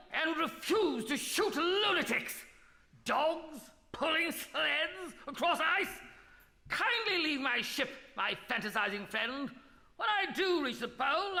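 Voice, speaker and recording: An actor with an upper-class English accent playing a pompous, blustering polar expedition captain. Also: male voice, very high voice, gravelly, bright, forceful tone, long reverb tail, full character voice.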